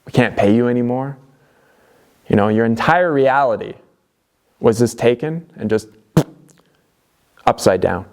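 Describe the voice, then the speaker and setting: A man's voice: mid-range voice, lively intonation, a little slow, long pauses, darkish tone, clean tone. A young Canadian man with a Canadian accent, talking earnestly to camera in a conversational motivational vlog, with plenty of fillers and restarts. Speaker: DIY vlogger.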